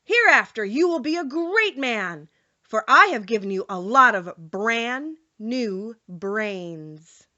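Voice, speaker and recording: Storybook humbug wizard acted by a woman: kindly little man, polite, brisk, animated and bright. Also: fairly high pitch, lo-fi sound, full character voice. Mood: reassuring.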